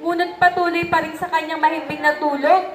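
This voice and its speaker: Young female narrator, high voice, Filipino accent, scripted storytelling, echoey room, some background noise. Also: fairly even intonation, fairly quick. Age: young adult.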